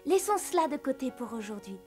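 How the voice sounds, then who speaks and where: A woman's voice: sits high, very animated, a little fast. A woman voicing a kind, polite, sheltered young girl in a French-dubbed cartoon. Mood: kindly curious. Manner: gentle, curious, well-bred. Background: music.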